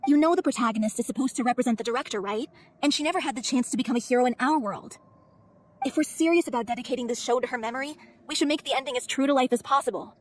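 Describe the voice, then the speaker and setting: An actress playing a frank, emotionally wounded young performer from a theatre troupe in a video game. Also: female voice, sits high, very fast. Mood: questioning, blunt.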